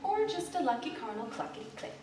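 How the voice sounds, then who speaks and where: A woman's voice: high-pitched, big pitch swings, quick pace, warm tone. A woman reading a literary story aloud in a theatrical way, live on stage in an ensemble. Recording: echoey live theatre, distant, noisy.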